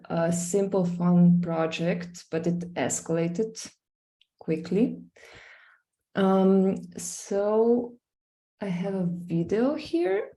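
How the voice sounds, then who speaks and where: A woman's voice: medium pitch, gravelly, mellow. A young woman from Slovenia with a Slovenian accent, presenting her art project over a screen share, talking hesitantly with many fillers and restarts. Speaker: visual artist presenting her work.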